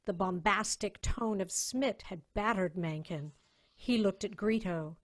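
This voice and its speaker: A woman's voice: medium pitch, measured pace. Woman narrating a dramatic story. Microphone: video call.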